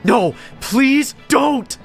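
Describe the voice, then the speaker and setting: A man's voice: extremely high pitch, lively intonation, drawn-out words, faint music behind, pitched above the performer's own voice, heavily acted. A man voicing a terrified kidnap victim in a horror story, crying out and pleading. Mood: desperate pleading. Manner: screaming, pleading.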